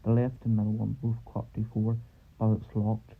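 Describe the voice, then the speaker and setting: A man's voice: on the low side, completely flat delivery, moderate pace, gravelly. A man giving an informal voice-over narration as the guide of a lift tour. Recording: muffled lo-fi mic, loud noise.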